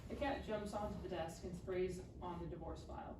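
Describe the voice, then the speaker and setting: A woman's voice: on the low side, fairly even intonation, fairly quick. A woman reading stage directions as scripted narration at a live table read. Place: live reading event in a room. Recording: echoey room, distant mic, clear noise.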